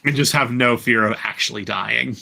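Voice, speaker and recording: A man in a casual podcast chat, talking in an animated way and thinking aloud with questions. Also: medium pitch, normal speed, creaky, husky. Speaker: podcast co-host.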